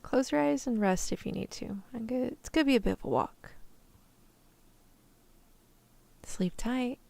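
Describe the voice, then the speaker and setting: A woman's voice: a little high, fairly quick, creaky, dark tone, dry recording. A woman playing a tough, protective, easygoing rescuer in an ASMR roleplay, talking in casual slang. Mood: soft, soothing. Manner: casual slangy reassurance, turning threatening.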